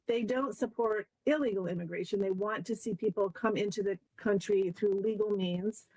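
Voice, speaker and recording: Brief: woman analyst, mid pitch, dry close sound, measured explanations. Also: darkish tone, no room sound, poor audio. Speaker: polling analyst. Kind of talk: interview answers.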